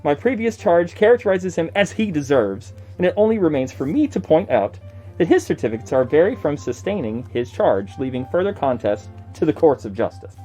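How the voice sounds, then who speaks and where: A man's voice: fairly high pitch, big pitch swings, brisk, mellow, soft background music, low-quality recording. Man acting an indignant horse seller, wildly swooping delivery. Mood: indignant, defiant. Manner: theatrical, declamatory, scornful. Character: indignant nineteenth-century horse seller hurling accusations of fraud. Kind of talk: dramatic reading of old newspaper notices.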